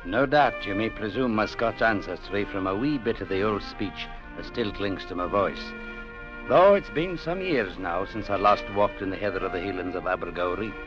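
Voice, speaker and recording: An actor playing an earnest, peace-loving young Scottish man telling his adventure in a formal, measured radio-drama manner. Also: on the low side, animated, close to an everyday voice. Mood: earnest, proud. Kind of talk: 1940s-50s radio drama acting. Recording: muffled old radio recording, music behind.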